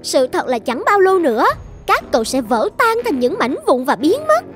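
A woman voicing a haughty, high-pitched iron jar. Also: very animated, moderate pace, soft background music. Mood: defiant. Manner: scornful, boastful. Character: haughty, boastful iron jar that scorns others. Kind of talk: animated fairy tale dialogue.

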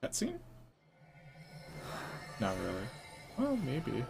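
A man's voice: mid-range voice, wildly swooping pitch, takes it slowly, vocal fry. A man giving casual, joking, offhand live commentary as he streams a game. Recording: game music behind voice. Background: game music.

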